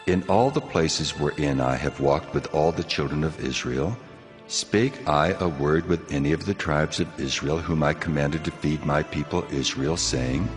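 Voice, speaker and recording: God in an audio bible, super deep solemn voice, huge reverb, majestic n commanding. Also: male voice, moderate pace. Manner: solemn, declamatory. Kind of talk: dramatized audio Bible acting. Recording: long cavernous reverb, music behind.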